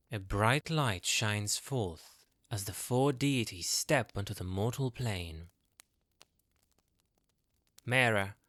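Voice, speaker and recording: A man's voice: mid-range voice, fairly bright tone, dry recording. A man narrating a scripted fantasy audio drama in a dramatic storytelling style.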